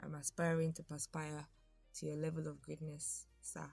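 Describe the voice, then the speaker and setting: A podcast co-host in casual chat who reads from an article in a relaxed, drawling way with many ums. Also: mid-range voice, normal speed, creaky, slightly rough, faint music behind.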